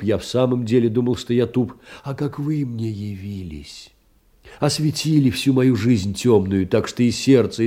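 An actor playing a humble, downtrodden poor man in an audio play, doting and emotional, confessing in halting, self-abasing phrases. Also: fairly low pitch, warm tone, close to an everyday voice. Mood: tender, sorrowful.

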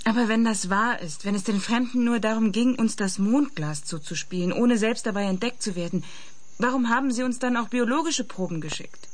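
An actress playing a sceptical, idealistic woman in a radio play, questioning in a measured, polite way. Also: on the high side, close to an everyday voice. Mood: probing. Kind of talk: German radio play acting.

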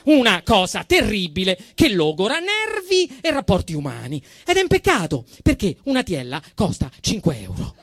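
A man's voice: sits high, huge pitch swings, a bit bright, no room sound. Man, Roman accent, comic theatre monologue, swooping intonation, emphatic mock drama, close dry sound. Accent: Roman Italian. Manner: mock-dramatic, emphatic, with drawn-out phrases and sudden rushes.

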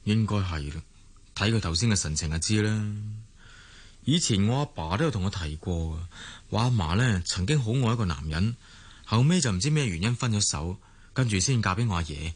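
Lowish-voiced, sceptical young Cantonese man. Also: animated, fairly quick, husky. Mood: reassuring. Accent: Hong Kong Cantonese. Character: down-to-earth young grandson, loyal and sceptical friend. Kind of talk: radio drama acting.

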